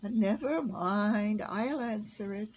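A woman's voice: medium pitch, takes it slowly, muffled recording, a little hiss or hum, pitched above the performer's own voice, lightly acted. A woman voicing an educated, pompous male insect professor in a storybook, with a dry, wordy delivery. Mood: dry, knowing.

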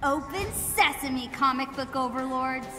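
A woman playing a starstruck, excitable teenage superhero fan in a video game. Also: high-pitched, a little slow, loud music behind the voice. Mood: starstruck excitement. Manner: gushing, starstruck. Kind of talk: video game cutscene acting.

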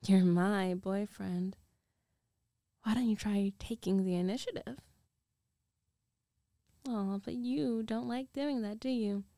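Slow, mellow, teasing dominant girlfriend played by a woman. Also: mid-range voice, fairly even intonation, dry recording, clean studio sound. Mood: teasing, commanding. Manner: slow, coaxing, breathy teasing, often dropping to a whisper. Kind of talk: intimate ASMR roleplay.

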